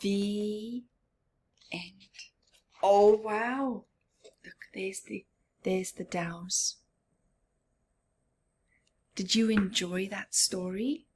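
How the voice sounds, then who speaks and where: A woman reading a picture book aloud to young children, dramatic and expressive, with simple asides and questions to the listener. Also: mid-range voice. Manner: slow, simple child-directed phrasing. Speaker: storyteller for children.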